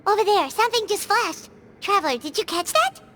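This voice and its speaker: A woman voicing a tiny, excitable, childlike fairy companion in a video game, chirpy and eager. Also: extremely high pitch, a little fast, slight background noise, full character voice. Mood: curious. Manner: speaks of self in third person, eager, chirpy. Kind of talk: animated video game character acting.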